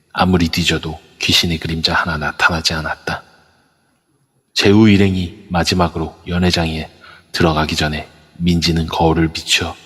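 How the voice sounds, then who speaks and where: A man narrating an amateur audio drama in a steady storytelling manner. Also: low voice, husky. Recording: long echoey reverb.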